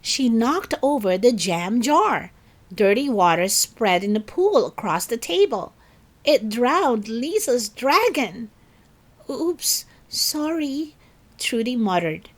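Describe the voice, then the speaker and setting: A woman hosting and narrating a children's story in an expressive, deliberate read-aloud style. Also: fairly high pitch. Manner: slow, deliberate, animated delivery for children. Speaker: story reader and channel host.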